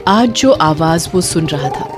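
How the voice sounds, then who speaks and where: बहुत भावपूर्ण महिला कथावाचक. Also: female voice, fairly low pitch, big pitch swings, unhurried, over loud music. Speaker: narrator. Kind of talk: dramatic scripted horror story narration.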